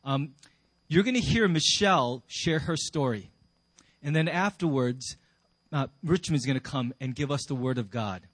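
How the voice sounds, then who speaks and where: A man's voice: medium pitch, lively intonation, normal speed, a bit bright. A man speaking informally to a congregation as a pastor introducing guest speakers. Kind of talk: informal church address. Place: high school cafeteria used for church.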